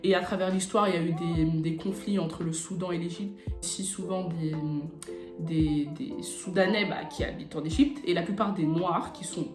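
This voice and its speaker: Low-pitched young woman vlogger, roomy sound. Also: fairly even intonation, moderate pace. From France. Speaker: YouTube vlogger. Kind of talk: casual chat, personal storytelling to camera. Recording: roomy echo, music bed behind. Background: background beat music.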